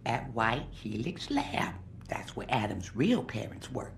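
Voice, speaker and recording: An actress playing a kindly, forgetful elderly former nurse in a video game, breathy, quavering and wandering as she talks. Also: rumbling low, very animated, a little slow, in a whisper, full character voice. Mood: wistful, rambling. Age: older. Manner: wandering, doddering.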